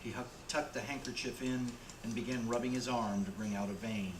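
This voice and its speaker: A man's voice: medium pitch, steady tone, a little fast. A man narrating a radio play in a dramatic storytelling style. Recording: roomy with clear background noise.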